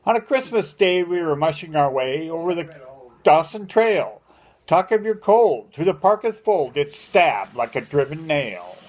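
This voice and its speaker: Older male, high voice, homespun grandfatherly verse reader with a theatrical, sing-song storyteller's rhythm. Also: very animated, a little slow. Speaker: grandfather reading a poem aloud to family. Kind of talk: dramatic amateur poem recitation.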